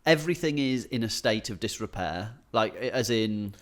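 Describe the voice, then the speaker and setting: A man's voice: lowish voice, lively intonation, normal speed, a bit bright. British man telling anecdotes, chuckling as he goes. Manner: chuckles often while talking, swears casually. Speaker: podcast co-host, stand-up comedian. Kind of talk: casual comedic chat, telling anecdotes.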